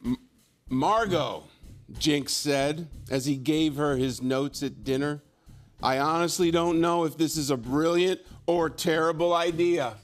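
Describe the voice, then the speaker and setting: A man's voice: on the high side, measured pace, faint music behind. A man with a dry, joking manner, bantering and reading aloud as a guest on a studio talk show. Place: television studio stage.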